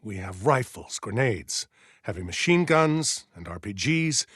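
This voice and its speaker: Fanatical middle-aged colonel, video game, oratorical, commanding, preacher-like, sweeping intonation, dry studio sound. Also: male voice, lowish voice, very animated, a bit bright, breathy edge, no room sound, exaggerated voice. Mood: proud, authoritative. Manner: grandiose, preaching, deliberate.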